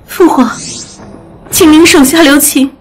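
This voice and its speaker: A woman's voice: sits high, steady tone, a little slow, soft background music. A woman playing a young, devoted dragon princess, urgent and pleading, in a fantasy audio drama.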